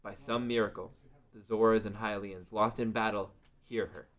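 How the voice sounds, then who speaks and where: A man's voice: on the low side, steady tone, normal speed. A man narrating a scripted fantasy story as the narrator of a table read.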